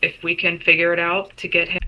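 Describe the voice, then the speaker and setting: A woman's voice: lowish voice, monotone, a little fast, muffled recording. A woman playing in a tabletop roleplay game, chatting informally and swearing freely. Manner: sweary, informal, hesitant restarts. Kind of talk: casual in-character roleplay chat.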